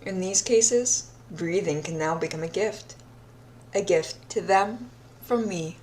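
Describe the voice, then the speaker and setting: A woman's voice: on the low side, slow, a little mellow, slight background noise, rough recording. A woman playing a deranged, obsessive yandere captor in an audio roleplay diary, sadistic yet childlike, speaking in a dreamy, intimate manner and lingering on words. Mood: dreamy menace. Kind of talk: audio roleplay monologue, recorded diary confession.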